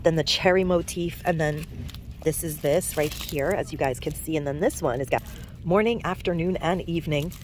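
A woman's voice: deep voice, moderate pace, a little hiss or hum. A woman hosting a shopping vlog, chatting enthusiastically about products as she walks store aisles with a handheld phone. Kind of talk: casual shop-with-me chat to camera. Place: discount store aisles, indoors.

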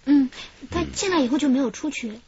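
An actress playing a young, helpful hotel receptionist being questioned in a radio drama. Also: female voice, high voice, fairly quick, dark tone, a little hiss or hum, lightly acted. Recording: muffled radio drama recording.

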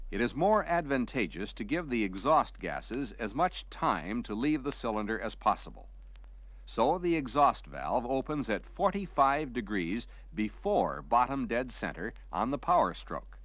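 A man reading a scripted vintage training film narration in a measured, clearly articulated, explanatory manner. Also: mid-range voice, fairly bright tone, no room sound.

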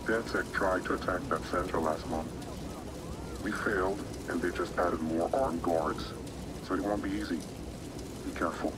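An actor playing a cautious hacker contact on a phone call in a video game, measured and wry. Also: male voice, low voice, fairly even intonation, heavy background noise, natural voice. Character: cautious male underground hacker contact aiding a client.

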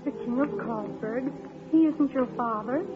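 A woman's voice: on the high side, measured pace, lightly acted. An actress playing a sweet, polite, friendly young inn girl in a 1950s musical radio drama, with a theatrical manner. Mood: shy, tender. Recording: tinny vintage radio broadcast with music.

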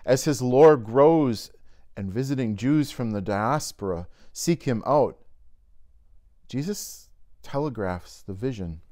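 A middle-aged man, a pastor, reading scripture and a devotional slowly and carefully, indoors by a fireplace. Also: mid-range voice, animated, dark tone. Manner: slow, measured reading aloud from a binder. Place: indoors in a home-style room by a fireplace.

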